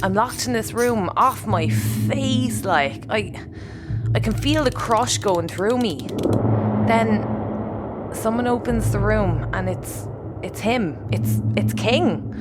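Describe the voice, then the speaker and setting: A woman playing a frank, troubled spaceship crew member in an audio drama, speaking informally and haltingly with an Irish accent. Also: medium pitch, expressive, heavy background noise. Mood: troubled, confiding. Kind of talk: naturalistic sci-fi audio drama acting.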